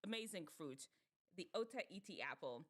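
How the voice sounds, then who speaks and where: Animated Jamaican woman host. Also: on the low side, moderate pace. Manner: animated, laughs often, muses aloud. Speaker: show host. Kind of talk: casual talk to teens.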